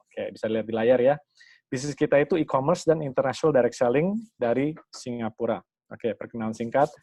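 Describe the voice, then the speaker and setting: A man's voice: medium pitch, warm tone, dry recording. A man giving an upbeat, salesy online business presentation over a video call. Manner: upbeat, salesy, quick bursts.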